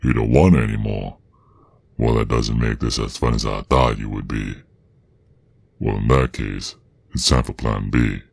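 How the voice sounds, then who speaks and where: A man voicing a menacing, protective werewolf father in an ASMR roleplay, with a Southern US accent and a very deep, gravelly, husky voice, drawling out taunts. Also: fairly even intonation, normal speed, long pauses, dry recording, exaggerated voice. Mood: sadistic menace.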